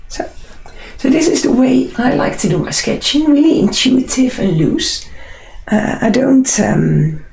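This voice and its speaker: A woman with a Dutch accent and a husky, unwell voice, chatting casually and unhurriedly as she hosts a live drawing tutorial. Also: high voice, sing-song, unhurried, a little mellow, echoey room, low-quality recording. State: unwell, struggling hoarse voice. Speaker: artist hosting a live drawing session.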